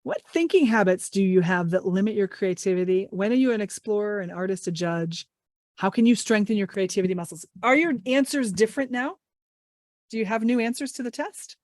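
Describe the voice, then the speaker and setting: A woman's voice: medium pitch, a little fast, a little mellow, no room sound, clean studio sound. Upbeat woman on a video call. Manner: upbeat, encouraging, asks many questions. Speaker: English language trainer. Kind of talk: online workshop talk. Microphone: video call mic.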